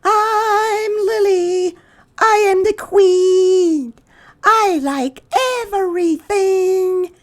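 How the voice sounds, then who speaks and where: A storyteller voicing a bold, self-assured little girl mouse who makes grand, drawn-out declarations in a children's storybook. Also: very high voice, fairly even intonation, pitched above the performer's own voice, exaggerated voice. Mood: proud, exuberant.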